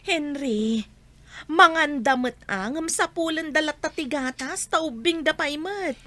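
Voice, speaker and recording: High, bright-voiced plaintive young mother played by an actress. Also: unhurried. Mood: upset, pleading. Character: struggling young mother, worn down and pleading with her husband. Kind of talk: radio drama acting.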